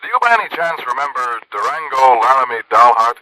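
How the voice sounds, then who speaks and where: Sharp, animated insurance man. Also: mid-range voice, a little fast, poor audio. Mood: emphatic. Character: earnest, fretful male insurance company man. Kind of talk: 1950s radio drama acting.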